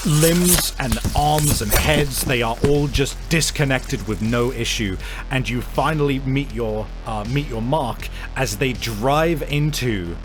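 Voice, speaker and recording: Man, game master, mid-range pitch, casual profane storytelling, excitable, tabletop roleplaying narration, background music. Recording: music playing behind the voice.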